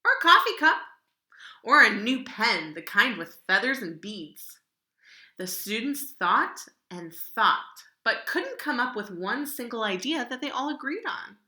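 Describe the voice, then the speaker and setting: A woman reads a storybook aloud with a very bright, lively voice that moves widely in pitch. She is dramatic and draws out key words. The sound is roomy and echoing. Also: a little slow. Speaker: storybook reader. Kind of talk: children's picture book read-aloud.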